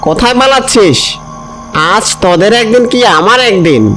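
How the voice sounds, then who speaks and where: Man playing a high-voiced irascible bandit sorcerer. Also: expressive, moderate pace, fairly bright tone, low-quality recording. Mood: furious. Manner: scolding, threatening. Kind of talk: children's cartoon voice acting. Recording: music under the voice.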